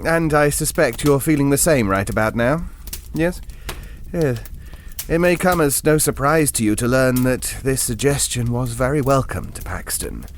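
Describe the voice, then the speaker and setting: A medium-pitched actor playing a bookish gentleman telling a ghost story in an audio drama. He is earnest, wry and a little hesitant, with faint noise in the recording. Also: normal speed, close to an everyday voice. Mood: reflective, uneasy. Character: earnest, bookish gentleman recounting an eerie tale.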